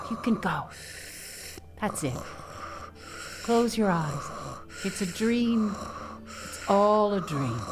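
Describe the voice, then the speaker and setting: An actress playing a wry, compassionate single New York woman who cares for the sick in a radio drama, speaking softly and with measure. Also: a little high. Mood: soothing. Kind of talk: comedic radio drama acting.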